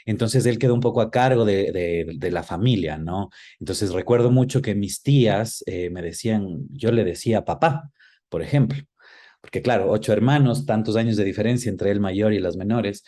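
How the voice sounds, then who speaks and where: A middle-aged man speaks as an interview guest in a soft-edged, mellow voice on the low side. He is reflective and conversational, pausing to search for words and adding small tag questions. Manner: hesitant, thoughtful, often checks with tag questions. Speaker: interview guest, screenwriter and filmmaker.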